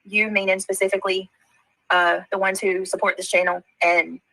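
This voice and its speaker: A woman with a Southern US accent talking on her livestream, halting and hurt, defensive, with many fillers. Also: mid-range voice, little pitch movement, creaky, pushed and bright, no echo, poor audio. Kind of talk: casual livestream talk.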